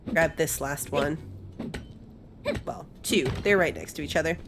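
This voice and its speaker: A woman's voice: deep voice, a little slow, background noise. A woman hosting a gaming video with casual, chatty commentary, thinking aloud as she plays. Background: faint game music.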